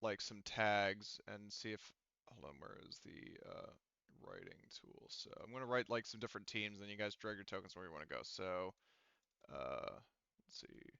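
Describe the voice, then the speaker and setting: A man's voice: lowish voice, expressive, moderate pace, creaky, sharp tone, clean tone, no echo, lo-fi sound. A man running an online tabletop game as game master, talking casually.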